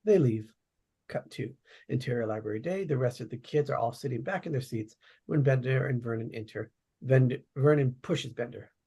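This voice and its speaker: Mellow, husky man reading stage directions. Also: medium pitch, moderate pace. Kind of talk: plain narration at a table read.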